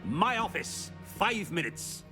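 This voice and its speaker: A man's voice: sits high, very animated, slow, exaggerated voice. A man playing a haughty, stern school headmaster in a video game, curt, imperious and scolding. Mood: stern impatience. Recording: loud music under the voice.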